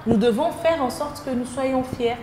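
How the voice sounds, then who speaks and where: A woman's voice: high voice, normal speed. A woman with a Congolese French accent, answering as an interview guest and repeating phrases for emphasis. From Democratic Republic of the Congo. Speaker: interview guest, social entrepreneur. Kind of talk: conversational interview. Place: indoors at own premises. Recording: roomy echo with background noise.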